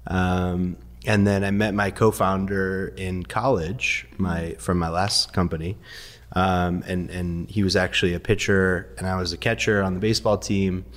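A man raised partly in Iran, first language Farsi, telling his story as a guest in a relaxed, laughing way with many ums. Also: sits low, measured pace, big-room sound. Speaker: guest, investor. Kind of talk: casual interview storytelling.

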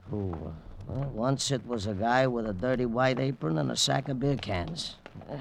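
An actor playing a gruff, nosy working-class janitor in an old-time radio crime drama, grumbling in slangy phrases and stalling with stammers. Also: male voice, medium pitch, moderate pace, natural voice. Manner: grumbling, colloquial, stammering "uh" when thinking. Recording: noisy vintage radio recording with clicks.

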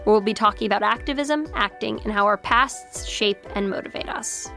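A woman's voice: mid-range voice, brisk, gravelly, pushed and bright, faint music behind. Upbeat podcast host woman. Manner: upbeat, playful introductions. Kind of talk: hosted interview podcast.

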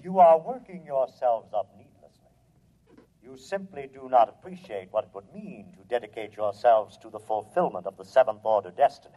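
An actor playing an emotionless, menacing alien machine in an old-time radio drama, speaking formally and without hurry. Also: male voice, medium pitch, animated, fairly quick, close to an everyday voice. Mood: calm, persuasive. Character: cold, polite alien humanoid machine, calmly menacing. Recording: muffled vintage radio recording.

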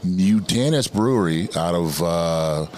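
A man's voice: sits low, animated, unhurried, a little mellow. A man co-hosting a podcast, chatting casually with hesitant fillers. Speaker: podcast co-host. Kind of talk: casual chat.

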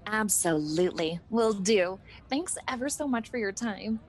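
A woman's voice: mid-range voice, lively intonation, measured pace, over music, natural voice. A woman playing a chirpy, eager young red carpet reporter in a comedic radio sketch, polite and gushing. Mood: starstruck.

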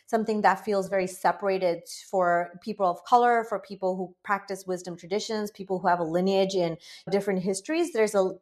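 A woman from Bangladesh in her late twenties or thirties, a breathwork facilitator talking earnestly and chattily as an interview guest. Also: medium pitch. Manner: earnest, chatty, many "like" fillers, animated quoted asides. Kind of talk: conversational interview.

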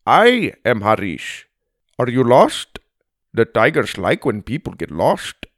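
Man voicing a cheerful, emphatic elephant rider, pitched up. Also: very animated, unhurried, creaky, dry recording, studio quality, full character voice. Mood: scolding. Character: cheerful proud Indian man, elephant rider, brisk scolding. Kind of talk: children's audiobook character voice.

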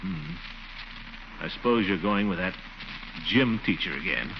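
A man's voice: low voice, expressive, measured pace, muffled recording. An actor playing a blustering, easily wounded middle-aged bachelor in 1940s radio comedy style, hemming and chuckling as he talks. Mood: indignant, wounded. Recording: noisy band-limited old radio recording.

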